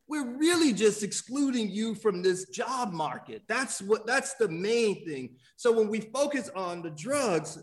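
Man from Miami, author guest on a talk show, measured and reflective, slightly higher voice, restarts often. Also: a bit bright. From Miami, USA. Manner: thoughtful, halting, many fillers and restarts. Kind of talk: conversational interview answer.

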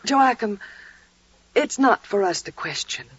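An actress playing an older, devout and protective woman, gentle and solemn, in 1940s radio drama style. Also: mid-range voice, expressive, unhurried, slightly rough. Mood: earnest, pleading. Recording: dull, roomy 1940s radio recording.

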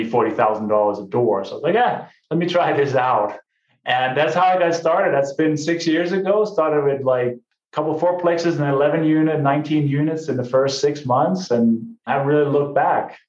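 Guest, male, late 40s, mid pitch, casual and upbeat, dull lo-fi line. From Denmark. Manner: upbeat, conversational, says "right?" and "you know" often. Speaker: guest, real estate investor. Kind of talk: casual interview talk. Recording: roomy, dull lo-fi sound.

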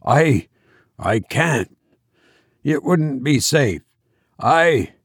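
A man voicing a frightened, pathetic stranger in a boat, faint and halting, pleading hesitantly in an audiobook. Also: mid-range voice, lively intonation, husky, no echo, studio quality, exaggerated voice. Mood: fearful, halting. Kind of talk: audiobook character acting.

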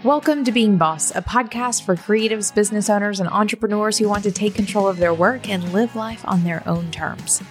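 A woman's voice: medium pitch, moderate pace, hardly any pauses, faint music behind. A woman hosting a podcast, reading intros and interviewing a guest in an upbeat, enthusiastic way. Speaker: podcast host. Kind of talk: casual interview and scripted ad reads.

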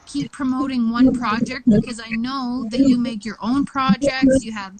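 A woman hosts a casual interview, bubbly and promotional, laughing often. Her voice sits a little high. Crowd chatter is heard behind her. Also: fairly even intonation, normal speed, rough recording. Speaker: interview host.

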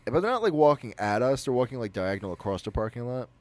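A young man with a naturally loud, mid-pitched voice tells a personal story. His intonation swings widely as he restarts sentences and rambles through the details in a casual podcast chat. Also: fast. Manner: animated, rambling, with frequent restarts. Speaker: podcast co-host.

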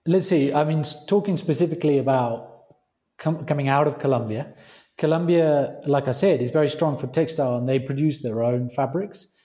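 A British man, a company founder, speaking as a guest on a remote interview call, with halting speech and frequent restarts. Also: a little high, mellow. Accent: British English. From Britain. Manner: halting, restarts phrases often.

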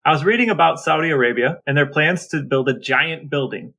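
A man's voice: on the high side, a little fast, vocal fry, pushed and bright. A man co-hosting a podcast in casual, conversational chat. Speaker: podcast co-host.

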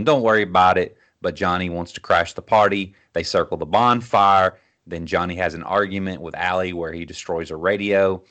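Male podcast host, low voice, measured and orderly when discussing movies. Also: fairly bright tone. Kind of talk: film discussion with prepared plot recap.

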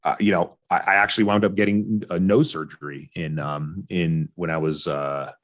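A man in his forties with an American accent and a fairly low voice. He speaks as an interview guest, candid and informal. His delivery halts and restarts, with phrases repeated for emphasis. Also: steady tone, moderate pace. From Orange County, California. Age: 40s. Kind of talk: candid personal storytelling in conversation.

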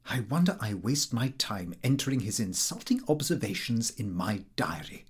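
Animated, slightly husky male narrator. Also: fairly low pitch, moderate pace. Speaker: audiobook reader. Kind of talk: scripted narration of a comic first-person diary.

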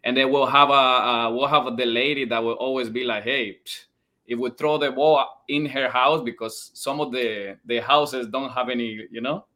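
A man's voice: mid-range voice, steady tone, normal speed, sharp tone. Colombian-accented man telling stories casually. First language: Spanish. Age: 30s. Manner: loose, non-native English phrasing. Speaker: podcast guest, former soccer player. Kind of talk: casual personal storytelling.

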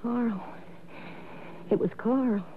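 A woman's voice: fairly high pitch, very slow. An actress playing a shocked, distraught young woman in a 1960s radio drama, stunned and pleading. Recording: narrow, noisy old radio recording.

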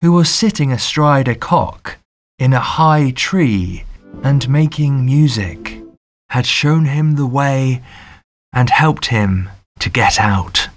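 A man reads a fairy tale as an audiobook narrator. His delivery is very unhurried and deliberate, with a mid-pitched voice. Each phrase is set out in a measured, scripted storytelling style.

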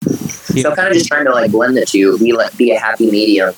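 A male band singer with a fairly low speaking voice. He answers in a relaxed, halting way and often trails off mid-sentence. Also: a little hiss or hum, rough recording. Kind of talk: casual interview answers.

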